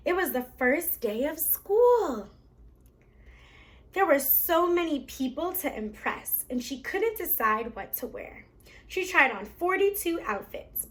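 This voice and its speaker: A woman hosting a read-aloud, telling a children's storybook in an animated, theatrical way. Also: sits high.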